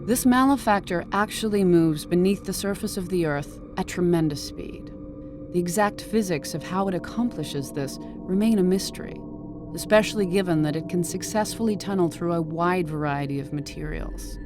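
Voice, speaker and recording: A woman giving measured, clinical scripted narration as a game's bestiary narrator. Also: on the low side, music in the background.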